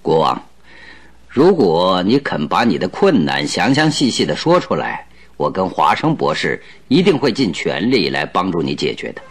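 Husky, courteous detective, lively intonation. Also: male voice, low-pitched, exaggerated voice. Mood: courteous, confident. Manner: calm, polite, deliberate. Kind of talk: Mandarin radio drama acting.

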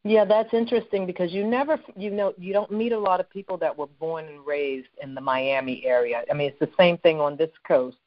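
A woman's voice: lowish voice, fairly even intonation, fairly quick, hardly any pauses, dry recording, lo-fi sound. A woman from Philadelphia hosting an interview, friendly and talkative as she asks questions. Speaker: show host. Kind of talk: casual interview chat.

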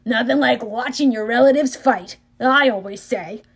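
Woman doing swaggering male war god, loud, sneering, audiobook, higher voice. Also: normal speed, husky. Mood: cocky.

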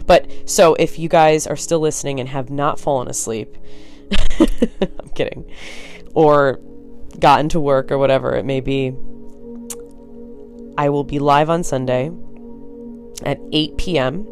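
Connecticut-accented solo podcast host, tired, frank and rambling, medium pitch. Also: unhurried, pauses often, faint music behind. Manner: blunt and frank, swears, rambling asides. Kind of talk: casual solo podcast talk.

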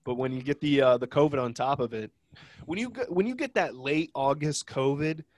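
A man's voice: medium pitch, a little fast, darkish tone, dry recording, clean studio sound. Congested, nasal man chatting casually. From Ohio. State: congested from a cold. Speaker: podcast co-host. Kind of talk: casual chat.

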